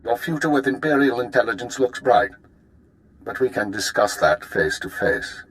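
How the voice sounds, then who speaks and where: An actor playing a composed, authoritative imperial spymaster in a video game, formal and measured. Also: male voice, medium pitch, sing-song, a bit bright, rough recording. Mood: businesslike.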